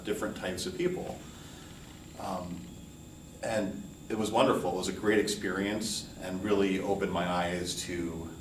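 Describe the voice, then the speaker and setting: A middle-aged man with a low voice gives an informal class introduction in a measured, earnest way. He sounds some distance from the microphone in a roomy space with audible echo. He speaks as a college instructor addressing students. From United States, New York City and Hawaii. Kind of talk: informal course welcome lecture. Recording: roomy echo, distant mic, faint noise.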